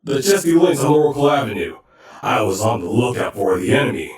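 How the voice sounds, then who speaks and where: A man's voice: medium pitch, big pitch swings, fairly quick, natural voice. A man playing an easygoing cyborg soldier in an audio drama, boastful, earnest and prone to rambling. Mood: earnest, defensive. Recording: very echoey, distant-sounding room.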